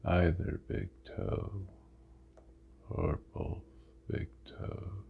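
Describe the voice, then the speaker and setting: A man leading a guided body scan meditation in an ASMR style, hushed, with drawn-out phrases and long pauses. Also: low voice, flat delivery, vocal fry. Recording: muffled phone-like sound with audible noise.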